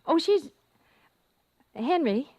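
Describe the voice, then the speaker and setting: A woman playing an anxious, gentle mother who hesitates as she hides her unease, in an old-time radio drama. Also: high voice, animated, drawn-out words, no room sound, heavily acted. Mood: nervous, reassuring. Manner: hesitant, searching, trailing off.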